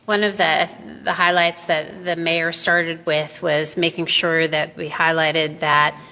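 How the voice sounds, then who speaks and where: Low bright woman's voice, slow careful explaining, echoey hall. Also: creaky. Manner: measured, hesitant with many fillers. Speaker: city official presenter. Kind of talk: explanatory presentation. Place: city public meeting hall. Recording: reverberant hall.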